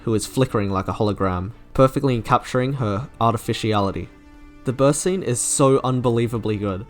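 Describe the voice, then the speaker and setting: A man delivers scripted review narration in the manner of an online video creator. His pitch is medium and his delivery is enthusiastic and opinionated. Music plays softly behind him. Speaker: video creator reviewing game character trailers.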